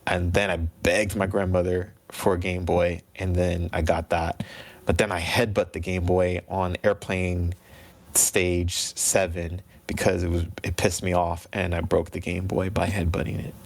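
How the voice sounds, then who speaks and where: A man's voice: sits low, flat delivery, a little slow. A man in his thirties chatting casually as an interview guest, telling stories and acting out bits of dialogue. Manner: acts out remembered dialogue. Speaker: interview guest, video game composer. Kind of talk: casual chat, animated storytelling.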